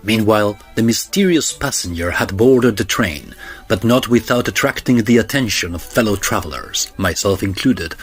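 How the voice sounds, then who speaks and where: Actor as a deepish, self-assured opera singer. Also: male voice, lively intonation, normal speed, faint music behind, natural voice. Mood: measured narration. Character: wry, self-assured deep-voiced male opera singer. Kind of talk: period audio drama with narration.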